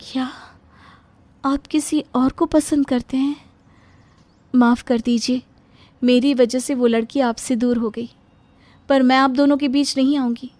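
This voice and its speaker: A woman playing a meek, self-sacrificing young bride in an animated story, polite and pleading. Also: sits high, measured pace, long pauses, no echo, pitched above the performer's own voice. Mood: hurt, pleading. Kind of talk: Hindi animated story acting.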